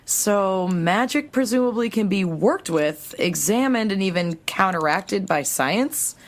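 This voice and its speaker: A brainy, sceptical young-hero's sister played by a woman in an audio drama. She reasons things out in a matter-of-fact way. Her pitch is average for a woman. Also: lively intonation, a little slow. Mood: pondering, analytical. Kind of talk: acted audio drama dialogue.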